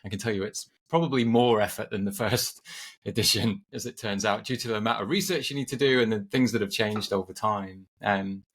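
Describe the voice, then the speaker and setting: A man's voice: fairly low pitch, a little fast, fairly bright tone. A middle-aged man from England, a podcast guest talking in a friendly way and often repeating words as he restarts. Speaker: guest, agile coach and author. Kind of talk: casual podcast conversation.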